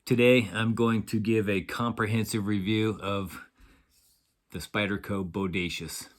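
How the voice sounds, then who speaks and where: A man with a fairly low, congested voice from a cold walks through a detailed knife review. He explains things methodically, pausing often and filling gaps with hesitant fillers. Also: steady tone. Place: at a table indoors.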